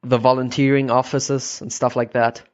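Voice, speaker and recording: A man's voice: mid-range voice, level tone, normal speed, highs cut off. A man from Germany, a native German speaker, hosting a casual podcast interview in English. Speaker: podcast host.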